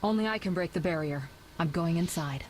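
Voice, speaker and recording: A woman playing a headstrong, sharp-tongued young sorceress in a video game, assertive in manner. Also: on the low side, close to an everyday voice. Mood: determined. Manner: assertive, brisk retorts. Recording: game audio with faint background noise.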